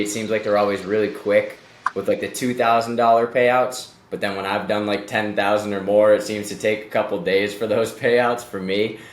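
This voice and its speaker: A man's voice: on the low side, roomy sound, a little hiss or hum. A man in his late twenties hosting a casual remote interview, upbeat and encouraging, chatty with fillers, on a video call. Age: late 20s. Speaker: interview host, company executive.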